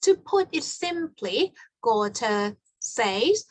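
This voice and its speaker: A woman walks listeners through her research in a measured, explanatory and slightly formal manner. Her voice sits fairly high. The sound is dry and close, with no room echo. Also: very animated. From Thailand. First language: Thai. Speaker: researcher presenting her study. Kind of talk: academic conference presentation.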